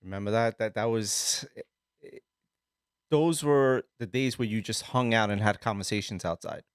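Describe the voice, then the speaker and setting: A man, co-hosting a casual podcast and telling rambling, animated stories with a lot of swearing. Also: fairly low pitch, moderate pace, clear, resonant tone, dry recording, clean studio sound. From Sicily. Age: 30s. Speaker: podcast co-host. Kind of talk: casual chat.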